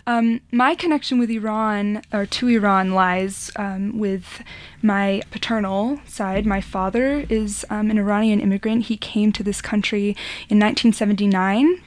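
A woman interview guest speaking politely and in a measured way, with some fillers. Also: mid-range voice, steady tone. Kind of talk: radio interview answer.

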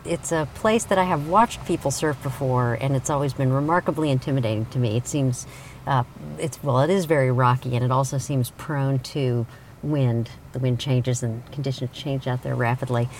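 A woman's voice: a bass voice, moderate pace, darkish tone, a little hiss or hum. A woman hosting an interview show, reading a scripted introduction and then asking questions in an earnest way, laughing often. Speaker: podcast host. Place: outdoors at a beach.

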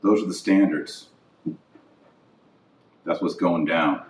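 A man with a low voice speaks as the host of a religious podcast. He is blunt and emphatic, hammering his points through repetition in an impassioned monologue. The sound is roomy and echoing, as if he sits back from the microphone. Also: takes it slowly, a little hiss or hum. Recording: roomy echo, distant from mic.

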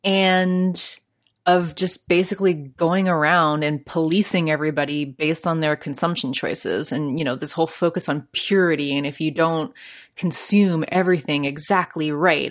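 Chatty Canadian woman podcaster. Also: sits low. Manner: chatty, laughs often, many fillers. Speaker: podcast host. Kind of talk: solo podcast commentary.

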